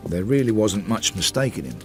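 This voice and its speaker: An actor playing a reflective Victorian detective, narrating a radio drama in clipped, understated phrases. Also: male voice, on the low side, big pitch swings, fairly quick, soft background music. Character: reflective Victorian detective recalling an old case.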